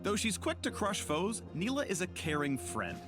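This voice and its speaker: Brief: male explainer narrator, lively intonation, playful scripted delivery with music underneath. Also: high-pitched, moderate pace. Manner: playful, punning, polished. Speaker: video game spotlight narrator.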